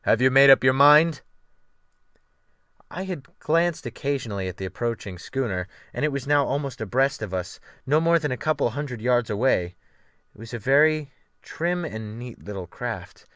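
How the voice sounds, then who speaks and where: A male narrator performs a dramatic reading of a novel. His medium-pitched voice moves up and down expressively. It is recorded dry, like a careful home audiobook. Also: lively intonation, normal speed, a bit bright, no room sound.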